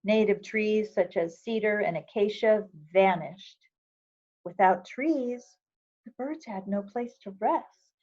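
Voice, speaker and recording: A woman reading a picture book aloud for children, with measured, expressive storytelling phrasing. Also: mid-range voice, muffled recording. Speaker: volunteer reader of a children's book.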